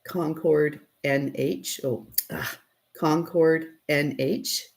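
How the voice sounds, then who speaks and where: A middle-aged woman with a low voice, chatting casually and laughing often as she answers viewers' questions on a live stream. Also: extremely slow, gravelly. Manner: relaxed, laughs often, thinks aloud while working. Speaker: potter and channel host.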